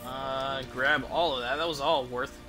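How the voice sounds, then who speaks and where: A man's voice: medium pitch, fairly even intonation, measured pace, music in the background. A man giving casual, rambling video game commentary, explaining things as he plays and swearing offhandedly. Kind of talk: casual gameplay commentary with tips.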